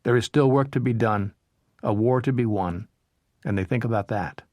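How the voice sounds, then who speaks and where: A man's voice: medium pitch, moderate pace, a little mellow, no echo, clean studio sound, lightly acted. A man voicing a seasoned lieutenant reflecting on how men accept combat. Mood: grave.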